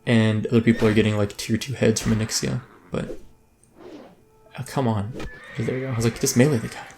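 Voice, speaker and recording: A man's voice: medium pitch, steady tone, a little fast, vocal fry, music in the background. Man rambling through gameplay commentary. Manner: rambling, self-interrupting, chatty. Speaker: let's play host.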